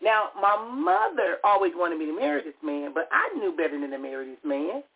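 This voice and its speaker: Animated, teasing woman in her fifties. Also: lowish voice, fairly quick, husky. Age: 50s. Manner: teasing, laughing, animated storytelling. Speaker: radio show host. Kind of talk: casual chat. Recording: tinny phone-line sound.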